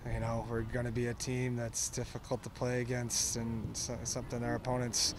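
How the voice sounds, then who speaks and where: Earnest coach in a postgame interview. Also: male voice, medium pitch, completely flat delivery, noisy background. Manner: earnest, halting, many fillers and restarts. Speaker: soccer coach. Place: outdoors at a soccer field at night. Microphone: handheld microphones held close.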